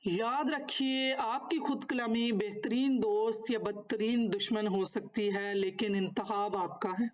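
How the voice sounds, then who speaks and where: A woman's voice: on the high side, fairly even intonation, a little slow, few pauses. A woman instructor giving a course lecture, partly reading from notes and partly explaining, in formal, instructive wording.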